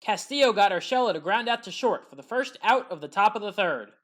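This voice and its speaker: A woman narrates a sports highlights recap in a medium-pitched voice. She works through the plays in order as a scripted commentary, reporting each one in turn. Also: animated, a little fast, fairly bright tone.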